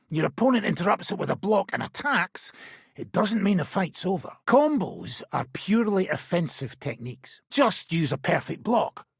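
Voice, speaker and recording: An actor playing an impatient, seasoned mercenary swordsman with a rough, gravelly voice, giving blunt, commanding instruction in a medieval video game. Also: high-pitched, no echo, studio quality, full character voice. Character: gruff, impatient seasoned male mercenary swordsman teaching combat. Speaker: video game character, sword-fighting trainer.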